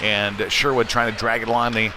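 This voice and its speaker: A man doing live hockey play-by-play in halting, off-the-cuff phrases, as the announcer on a game stream. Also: on the low side, level tone. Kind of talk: live sports commentary. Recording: noisy live rink stream.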